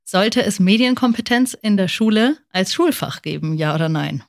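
A woman's voice: mid-range voice, lively intonation, moderate pace, vocal fry. A woman hosting a podcast, talking to her listeners and guest. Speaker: podcast host.

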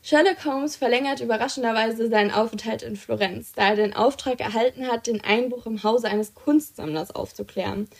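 A woman's voice: a little high, brisk, gravelly, few pauses. A young woman co-hosting a podcast, chatting enthusiastically about books she loves. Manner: enthusiastic, chatty, many fillers. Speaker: podcast co-host presenting books. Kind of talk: casual podcast chat.

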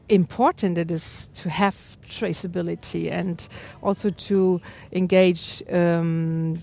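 A woman answering audience questions as a company representative, explaining in an unscripted, halting way. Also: lowish voice, darkish tone.

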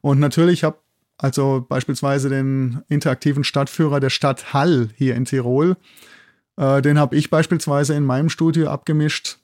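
Male interview guest, middle-aged expert. Mellow delivery in a dry close sound, keen explainer who often tags phrases with a check. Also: medium pitch, fairly even intonation, normal speed, no room sound. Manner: enthusiastic, often ends phrases with a checking tag. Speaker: guest expert, zoology institute head and sound producer.

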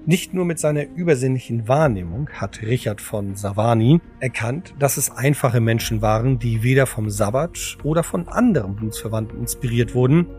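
A man's voice: medium pitch, moderate pace, hardly any pauses, darkish tone. A man narrating a scripted audio drama in a dramatic storytelling style. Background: music.

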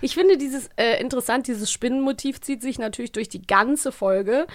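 A woman's voice: fairly high pitch, moderate pace, no echo. A woman co-hosting a podcast, chatting casually about audio dramas. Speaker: podcast co-host. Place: at a podcast table. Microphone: podcast microphone on a stand.

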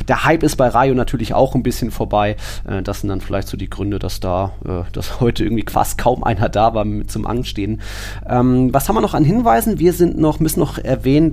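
A man's voice: medium pitch, darkish tone. A man hosting a football podcast in a casual, conversational way, with somewhat hesitant phrasing. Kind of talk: casual sports podcast talk.

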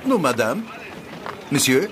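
An actor playing a brisk, official police inspector with a French accent in a German audio drama. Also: male voice, mid-range voice, sing-song, noisy background. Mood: brisk, questioning.

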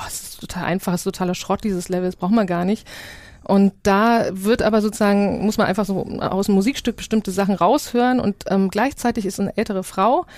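A woman's voice: medium pitch, a little mellow, no room sound. Woman chatting as a guest, telling stories, really dry studio sound. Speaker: radio science editor, interview guest. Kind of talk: casual interview anecdote.

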